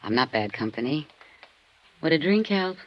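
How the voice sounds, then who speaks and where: An actress playing a tipsy, sultry and evasive lonely wife in a 1950s radio drama. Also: female voice, low-pitched, very animated, normal speed, lo-fi sound. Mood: languid, flirting.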